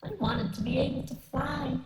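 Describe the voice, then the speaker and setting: A woman's voice: high voice, steady tone, moderate pace, vocal fry, echoey room, crowd noise. A woman playing an eager, insecure young boy in a radio play, earnest and childlike. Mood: wistful.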